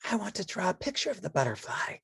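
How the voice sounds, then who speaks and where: A woman voicing a sweet, eager little girl puppet in a children's storybook, speaking softly. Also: mid-range voice, sing-song, fast talker, vocal fry, husky, no echo, studio quality, pitched above the performer's own voice, heavily acted. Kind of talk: children's storybook read-along character voice.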